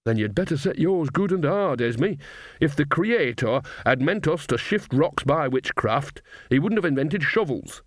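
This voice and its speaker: A man's voice: a little high, animated, unhurried, dry recording, studio quality. A man voicing an earthy old woman, a practical, wry witch who talks bluntly and colloquially in an audiobook. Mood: scolding. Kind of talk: audiobook character voice.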